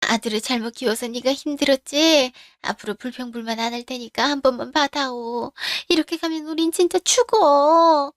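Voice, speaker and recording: Brief: woman voicing a begging older mother-in-law, high and pleading, dry sound. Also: normal speed, few pauses, no echo, close to an everyday voice.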